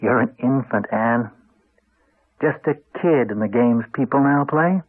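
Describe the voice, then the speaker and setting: An actor playing a cold, contemptuous husband with a Canadian accent, speaking in a deliberate, cutting, condescending way in a radio drama. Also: male voice, mid-range voice, dry recording, close to an everyday voice. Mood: threatening, smug. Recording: dull, band-limited 1980s radio recording.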